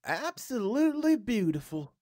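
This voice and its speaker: A man's voice: high-pitched, sing-song, normal speed, a little mellow, no room sound, studio quality, voice pushed up. A man voicing a pleasant husband in a chirpy, light, raised voice in a storybook reading. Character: pleasant husband on his patio.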